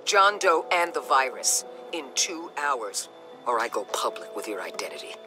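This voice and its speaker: An actor playing a ruthless, commanding government boss in a video game, curt and authoritative. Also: male voice, mid-range voice, big pitch swings, moderate pace, pushed and bright, soft background music. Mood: cold menace.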